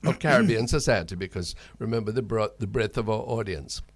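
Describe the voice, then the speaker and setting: A man's voice: lowish voice, a little fast, no room sound. A Jamaican man hosting a radio talk in spirited debate, speaking in articulate, formal wording with frequent repeated words. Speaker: radio host.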